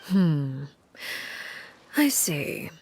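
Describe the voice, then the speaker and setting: A woman playing a cool, composed, subtly menacing female leader in a video game, speaking in a measured, formal, wry way. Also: sits low, very animated, a little mellow, soft background music, exaggerated voice. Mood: cool, probing.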